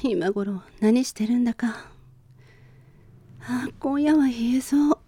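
A woman's voice: fairly high pitch, slow, warm tone, breathy edge, no room sound, pitched above the performer's own voice, full character voice. A woman playing a lovelorn, proud woman who clings to her lover in an amateur romantic radio drama. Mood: lonely. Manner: wistful, putting on a brave front.

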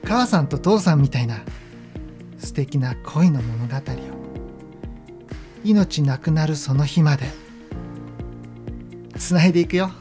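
A man playing a gentle, earnest grown son who narrates a radio drama in a measured, sentimental way, with pauses. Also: a little high, lively intonation, music in the background. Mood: wistful, resolved.

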